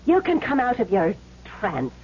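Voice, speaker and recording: Swooping, demanding widow. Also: female voice, mid-range voice, wildly swooping pitch, a little slow, husky, full character voice. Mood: curt, suspicious. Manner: haughty, sharp-tongued, easily flustered. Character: demanding, suspicious wealthy widow consulting a medium. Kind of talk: 1970s radio drama acting. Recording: muffled, noisy old radio broadcast.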